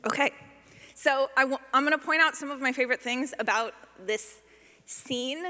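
A woman's voice: high voice, moderate pace, pushed and bright, long reverb tail, slight background noise. A woman giving an informal talk as a sound designer, chatty, playful and self-deprecating.

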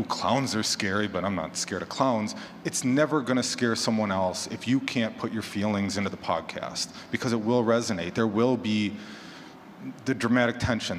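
A man with a fairly low voice talks informally on a conference panel. His words ring through a hall with long reverb, over a light bed of room noise. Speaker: panelist, horror podcaster. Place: large indoor conference session room.

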